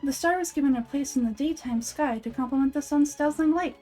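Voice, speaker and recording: A woman voicing a gentle, child-like goddess of wisdom in an anime game fan dub, measured, thoughtful and earnest. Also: sits high, soft background music, close to an everyday voice. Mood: solemn, gentle.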